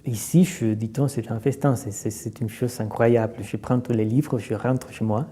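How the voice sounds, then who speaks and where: Halting man with a dark voice. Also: mid-range voice, steady tone, husky. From Argentina. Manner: halting, many fillers and repeated words. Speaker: literary translator. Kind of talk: reflective interview.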